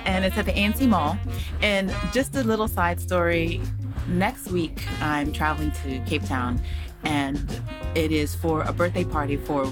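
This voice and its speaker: A woman hosting a casual podcast interview from a small cafe, upbeat and excited, with many restarts. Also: deep voice, measured pace, music in the background. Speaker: podcast host.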